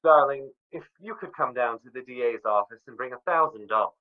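An actor playing a stubborn, smooth-talking lawyer and fiancé in trouble, in the style of a vintage radio crime drama. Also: male voice, mid-range voice, a little fast, lightly acted. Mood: pleading, coaxing. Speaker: actor in a live radio theatre retelling. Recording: muffled, band-limited audio.

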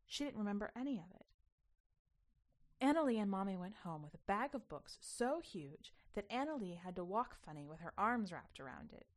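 Woman, story narrator, expressive delivery, emphatic phrasing, medium pitch, childlike wonder. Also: normal speed. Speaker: podcast host reading a short story.

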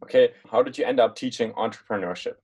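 A man's voice: medium pitch, quick pace, darkish tone, husky. A young man hosting a podcast, asking long, rambling questions full of restarts and fillers. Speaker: podcast host. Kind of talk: casual interview hosting.

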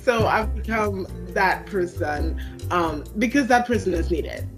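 A woman's voice: mid-range voice, lively intonation, a little slow, music in the background, rough recording. A woman from Trinidad and Tobago with a Trinidadian accent, speaking articulately as an interview guest and laughing easily. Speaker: guest, people analytics expert. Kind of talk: interview answers.

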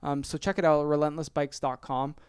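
A young Canadian man hosting his own podcast, rambling casually, repeating his phrases and filling pauses. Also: fairly high pitch, steady tone, a little mellow, clean tone, no room sound, studio quality. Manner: chatty, rambling, repeats phrases, many fillers. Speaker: podcast host.

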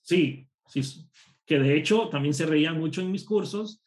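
A man from Costa Rica, a programmer and course instructor, telling lively personal stories as a guest in a casual interview. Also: fairly high pitch, moderate pace. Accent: none, a neutral Spanish. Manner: lively anecdotal storytelling.

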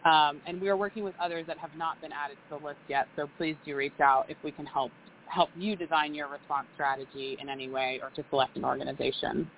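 A woman giving a measured update on a podcast, often repeating words as she rephrases. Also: low-pitched, fairly even intonation. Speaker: charity vice president. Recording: tinny phone-like line with faint hiss.

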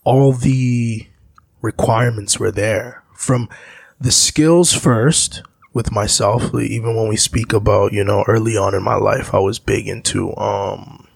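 Gravelly, husky man telling stories. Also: fairly low pitch, fairly even intonation, a little slow, no room sound. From Zambia. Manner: rambling, reflective storytelling. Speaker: musician guest. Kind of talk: casual interview talk.

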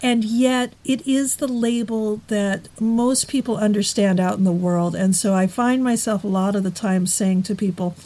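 A woman talking in a casual podcast conversation, thoughtful and measured, slowing down on key words. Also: medium pitch, warm tone, rough recording.

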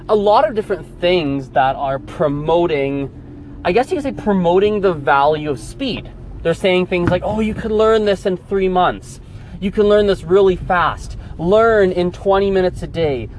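A man's voice: high voice, a little hiss or hum, poor audio. A man hosting a podcast in casual chat, talking into a phone while getting ready and then driving in a car, rambling as he goes. His first language is Gujarati. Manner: rambling, talks while on the move. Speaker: podcast host.

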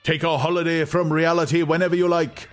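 A man's voice: fairly high pitch, flat delivery, fairly quick, a little hiss or hum, voice pushed up, natural voice. A man voicing breezy, smug young fellows in an audiobook, jauntily reciting slogans and advice. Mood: breezy, insistent. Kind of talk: dramatized audiobook acting.

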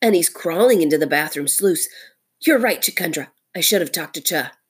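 A woman's voice: on the low side, animated, normal speed, slightly rough. A woman voicing a bold male mongoose from a storybook, speaking in a curt, confident, scornful manner. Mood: realizing.

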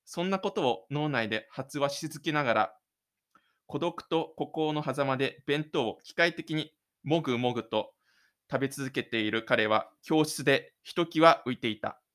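A man's voice: medium pitch, normal speed, fairly bright tone. A man presenting his own self-made audio drama, moving between casual radio-show talk and scripted narration.

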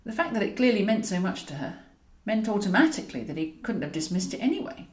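A woman reading a comic romantic novel aloud in an expressive storytelling style, as the author of the book. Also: mid-range voice, quick pace, echoey room. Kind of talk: expressive audiobook narration of comic romantic fiction.

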